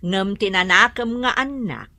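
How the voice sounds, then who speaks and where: A woman's voice: medium pitch, measured pace, bright, forceful tone, dry recording. An actress playing a talkative, gossipy neighbor woman in a radio drama.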